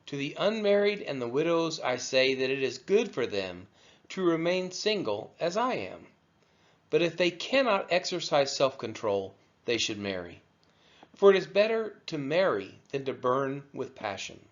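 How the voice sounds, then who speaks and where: Expressive man reading scripture, dull audio. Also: a little high, moderate pace. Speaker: scripture reader. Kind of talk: scripted read-aloud of scripture.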